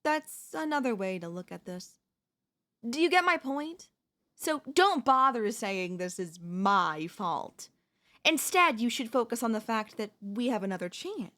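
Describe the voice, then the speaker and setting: A woman playing a possessive, manipulative wife in an ASMR audio roleplay, speaking to the listener in a sweetly condescending, deliberate, theatrical way. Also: fairly high pitch, lively intonation, sharp tone. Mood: accusing, condescending. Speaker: voice actress.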